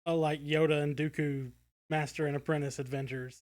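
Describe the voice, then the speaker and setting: A man with a somewhat higher-than-average voice co-hosts a casual podcast discussion. He feels his way through each point, hesitating and rephrasing as he thinks aloud. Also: little pitch movement, moderate pace, a little mellow. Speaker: podcast co-host.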